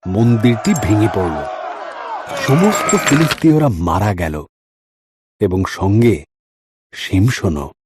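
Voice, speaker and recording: A man's voice: lowish voice, big pitch swings, slow pace, pauses often. A man narrating a scripted story in a dramatic storytelling style. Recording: music under the voice. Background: background music.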